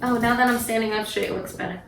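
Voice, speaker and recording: A woman's voice: a little high, steady tone, fast. A woman in her thirties co-hosting a podcast in casual, joking chat. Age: 30s. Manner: playful, jokey, bursts into silly Spanish greetings. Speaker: podcast co-host. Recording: roomy, distant mic, faint noise.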